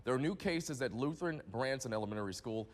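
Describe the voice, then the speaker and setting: A man's voice: mid-range voice, animated, fast talker, long reverb tail, a little hiss or hum. A man with an American accent reading scripted morning television news as the anchor. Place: TV news studio.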